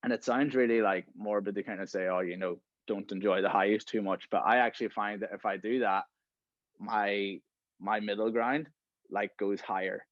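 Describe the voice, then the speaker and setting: A man's voice: lowish voice, fairly even intonation, normal speed, dry recording, muffled recording. A man in his thirties hosting a podcast in casual conversation, filling his talk with "right?" and "you know". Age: 30s. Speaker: podcast host.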